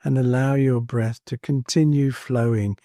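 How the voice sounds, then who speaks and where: A man leading a guided meditation in a calm, soothing manner, leaving space between short phrases. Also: medium pitch, measured pace, no echo, clean studio sound. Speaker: meditation teacher. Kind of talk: guided body scan meditation.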